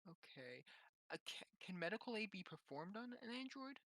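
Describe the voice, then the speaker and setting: A man talks casually as a tabletop game player. His voice is bright and lively in pitch. The sound is dry, with little room. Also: moderate pace, no room sound, low-quality recording.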